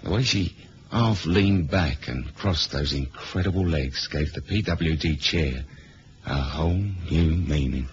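A male actor playing a laconic, womanizing British spy in a 1970s radio drama, deadpan and casual with a British accent. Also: extremely low pitch, unhurried, soft background music. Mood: wry. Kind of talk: 1970s radio drama, first-person spy narration and dialogue. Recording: dull lo-fi old radio recording, hiss.

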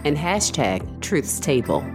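Very low-voiced woman announcer over music. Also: sing-song, takes it slowly. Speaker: announcer reading podcast credits. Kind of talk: scripted narration.

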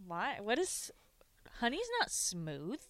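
A woman hosting a sports radio show in a studio, teasing her co-host in quick, playful banter. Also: medium pitch, sing-song, a bit bright, dry recording. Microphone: studio microphone.

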